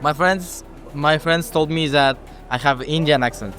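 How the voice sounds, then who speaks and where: A man's voice: on the high side, a little slow, fairly bright tone, faint music behind. Hesitant teenage boy. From Kazakhstan. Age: teens. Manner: hesitant English with many fillers. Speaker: passerby in conversation. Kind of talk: casual chat.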